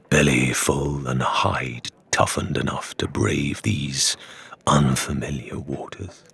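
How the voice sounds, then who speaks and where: Dark ominous thing waking up, super low husky hushed voice, audiobook. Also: male voice, heavily acted. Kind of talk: audiobook character voice.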